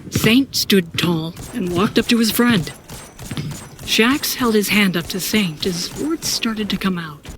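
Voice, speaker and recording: A woman's voice: medium pitch, slow, noisy background. A woman giving scripted, dramatic first-person narration as the narrator of an audio story.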